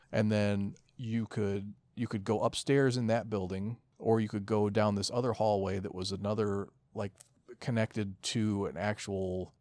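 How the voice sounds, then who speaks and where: A man's voice: lowish voice, darkish tone, no room sound. A man co-hosting a podcast in casual chat, rambling and swearing freely as he goes. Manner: rambling, profane. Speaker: podcast co-host.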